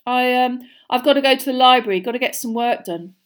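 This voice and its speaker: A woman's voice: high voice, a little fast, pitched above the performer's own voice, lightly acted. A woman voicing a subdued, awkward teenage schoolboy in a storybook, hesitant and trying to sound casual.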